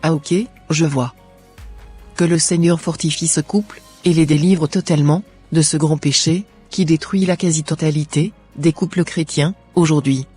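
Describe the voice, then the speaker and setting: Earnest churchgoing sister in a cartoon played by a woman, husky, quiet music. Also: sits low, steady tone, normal speed. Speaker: channel co-host. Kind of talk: scripted cartoon voice-over.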